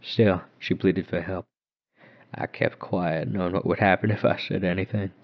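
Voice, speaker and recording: Very deep-voiced man narrating horror. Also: fairly even intonation, moderate pace, vocal fry. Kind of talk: first-person dramatic horror narration. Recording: dull muffled lo-fi recording.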